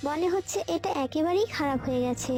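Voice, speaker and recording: A woman's voice: high-pitched, a little fast, close to an everyday voice. A woman voicing a sweet, protective young witch bride in a scripted cartoon. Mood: grateful, polite. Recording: loud background music. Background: music.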